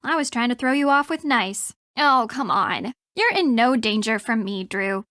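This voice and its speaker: A young American female frenemy in a video game. Her voice is high and sardonic, needling and teasing bluntly, with a dry studio sound. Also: animated, normal speed, fairly bright tone, dry recording.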